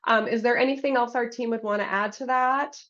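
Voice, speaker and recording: Woman w a cold, kinda hoarse, hosting a webinar q&a, muffled video call sound. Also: fairly high pitch, fairly even intonation, brisk, fairly bright tone. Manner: frequent ums, conversational and apologetic. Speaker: webinar moderator for a state health program.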